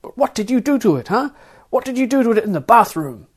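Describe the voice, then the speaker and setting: A man's voice: very high voice, big pitch swings, fast talker, husky, pitched above the performer's own voice, exaggerated voice. A man doing a bullying female airport guard. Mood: aggressive taunting. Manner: shouty, sneering, interrogating. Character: stocky gruff female airport security officer, bullying.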